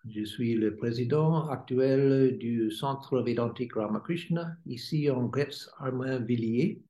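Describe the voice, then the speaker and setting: Halting American man reading a lecture. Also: mid-range voice, warm tone. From United States. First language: English. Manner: halting, many hesitations while reading. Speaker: swami giving a lecture. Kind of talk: read-aloud spiritual lecture. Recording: roomy echo.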